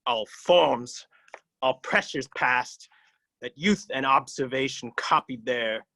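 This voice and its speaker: An actor playing an anguished, vengeful young prince in a Shakespearean soliloquy, declaiming intensely in broken phrases. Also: male voice, a little high, bright, forceful tone, dry recording, full character voice. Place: remote reading over video call.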